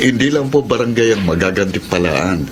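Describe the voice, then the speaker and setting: Low-voiced supportive husband, acted by a man. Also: lively intonation, a little fast, background noise, natural voice. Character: supportive, agreeable husband and civic-minded son. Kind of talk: Filipino radio drama acting.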